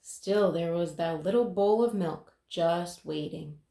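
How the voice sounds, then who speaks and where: A woman reading a children's picture book aloud in an expressive way, with dramatic pauses. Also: lowish voice, creaky. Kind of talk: children's story read-aloud. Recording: roomy, echoing sound.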